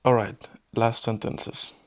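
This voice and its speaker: A young man rehearsing a school presentation in German, slow and halting over the foreign words, correcting himself as he chats with friends. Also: medium pitch, little pitch movement. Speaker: student practicing a German presentation. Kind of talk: casual chat among friends.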